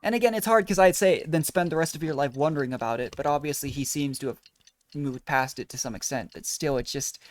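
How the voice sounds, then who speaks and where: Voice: mid-range voice, fast talker. A game streamer giving casual playthrough commentary, rambling and thinking aloud.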